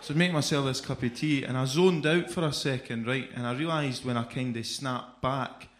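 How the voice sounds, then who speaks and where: A man's voice: medium pitch, steady tone, moderate pace, roomy sound. A man with an Edinburgh Scottish accent doing a chatty stand-up set, often tagging lines with "right?". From Scotland. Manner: chatty, keeps adding "right?". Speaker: stand-up comedian. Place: comedy club stage.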